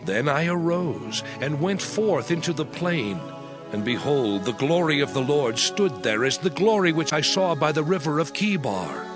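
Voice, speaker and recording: An actor playing an earnest, awed prophet recounting his vision in a solemn, measured, expressive dramatized scripture narration. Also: fairly high pitch. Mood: solemn wonder. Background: music.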